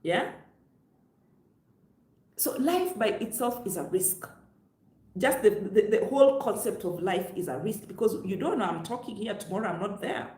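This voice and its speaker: A woman's voice: mid-range voice, expressive, normal speed, long pauses, mellow, reverberant. A woman with a Kenyan accent giving direct, emphatic advice to her viewers, talking from a seat in a room of her home. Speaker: travel vlogger. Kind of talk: direct-to-camera advice vlog. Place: room on the upper floor of her home, seated.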